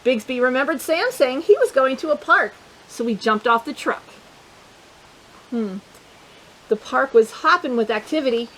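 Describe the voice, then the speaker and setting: A woman hosting a children's storytime, reading a picture book aloud in an animated, playful way, with chatty asides to her young listeners. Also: high voice, normal speed, pauses often, slight background noise, low-quality recording.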